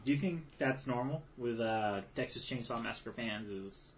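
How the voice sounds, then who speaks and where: A man co-hosting a casual movie review podcast, relaxed and agreeable, often chuckling. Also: mid-range voice, moderate pace, a little hiss or hum. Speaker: podcast co-host.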